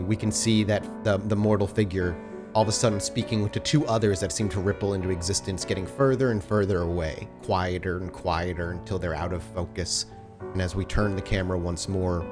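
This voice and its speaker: A low-pitched man acts as game master for a tabletop session. He describes each scene like a film, measured and dramatic. Faint music plays beneath his voice. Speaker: game master, storyteller of an actual play podcast. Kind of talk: improvised cinematic narration for a tabletop roleplaying game.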